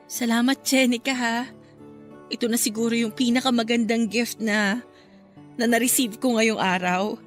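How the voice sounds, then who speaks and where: A woman's voice: fairly high pitch, fairly even intonation, normal speed, soft background music, lightly acted. A woman playing a grateful, wistful young woman in a Filipino radio drama, speaking with a Filipino accent. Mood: earnest, wistful. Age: young adult. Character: grateful, wistful young woman, newly graduated, missing her late love.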